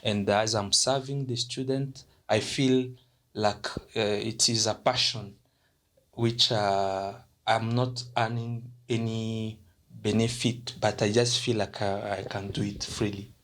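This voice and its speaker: A young man from DR Congo answers interview questions about his university, in a voice that sits a little low. He hesitates a lot, filling gaps and starting his phrases over. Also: steady tone, slow pace, a bit bright. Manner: hesitant, many fillers and restarts. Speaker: international student representative.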